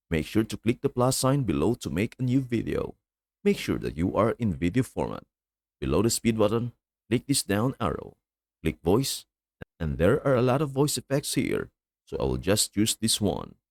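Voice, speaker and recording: A man with a low, gravelly voice narrates a tech tutorial step by step. His tone is polite and formal, and his pitch rises and falls a lot. The recording is dry and close. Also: big pitch swings, moderate pace, darkish tone, no echo.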